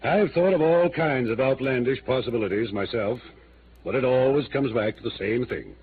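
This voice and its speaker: Through a narrow, hissy old broadcast comes a man acting a small-city mayor. He speaks at a middle pitch, formal and measured, with the weary earnestness of a careful official. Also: natural voice. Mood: earnest, reasoning. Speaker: supporting actor in a radio drama. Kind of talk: 1950s radio drama acting. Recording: tinny, noisy old radio recording.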